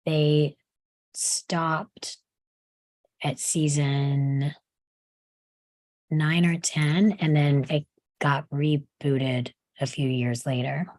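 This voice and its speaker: A woman's voice: deep voice, fairly even intonation, drawn-out words, long pauses, a little mellow, no room sound. A woman chatting casually in a group as a knowledgeable fan of a TV series. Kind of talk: casual chat about a TV series.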